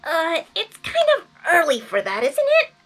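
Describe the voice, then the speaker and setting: Woman voicing a brash cartoon pegasus girl, very high and bouncy, storybook reading. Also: huge pitch swings, normal speed, fairly bright tone, faint music behind, full character voice. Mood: flustered. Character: brash tomboyish young female pegasus.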